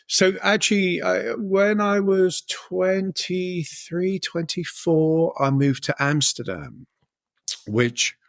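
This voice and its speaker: Chatty low-voiced London man. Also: dry recording, studio quality. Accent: London English. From London, England. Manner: rambling, chatty, quick restarts and chuckles. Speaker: guest, fly fishing author. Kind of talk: casual podcast interview.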